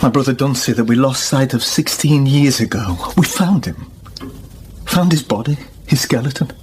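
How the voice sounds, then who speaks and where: A man acting a troubled, middle-aged gentleman in a radio play. His medium-pitched voice is grave and weighty, halting under guilt. A faint noise runs under the recording. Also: lightly acted. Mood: grim, shocked.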